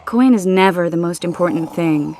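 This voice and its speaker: A woman's voice: on the low side, normal speed, darkish tone, lightly acted. An actress playing an earnest, guarded female companion in a fantasy video game, speaking in a direct way. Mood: wary, warning.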